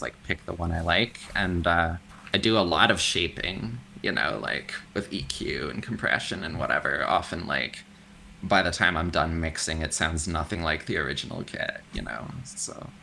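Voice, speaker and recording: A man with a very low, gravelly voice that still carries a bright, cutting edge. He talks in a loose, chatty way and drops casual swears as a guest on a podcast. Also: expressive, normal speed, a little hiss or hum. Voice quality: deep voice. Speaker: guest, extreme metal vocalist. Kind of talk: casual interview chat.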